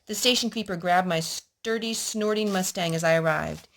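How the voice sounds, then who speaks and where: A woman's voice: lowish voice, moderate pace, fairly bright tone. A woman hosting a children's storytime, reading picture books aloud and asking little prompting questions in a child-directed way.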